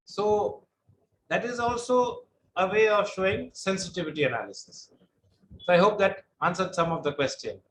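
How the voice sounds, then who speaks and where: A man's voice: high-pitched, normal speed, fairly bright tone, a little hiss or hum, poor audio. A middle-aged man lecturing online with a droning voice, answering questions patiently and explaining step by step. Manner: patient, explanatory, some repetition.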